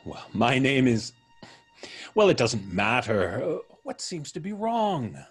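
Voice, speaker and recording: A slow, suave, menacing intruder. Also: male voice, medium pitch, big pitch swings, breathy edge, soft background music, exaggerated voice. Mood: suave, sly. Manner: polite, deliberate, teasing, drawn-out delivery. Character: suave, mocking male intruder, mysterious and menacing. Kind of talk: old time radio mystery acting.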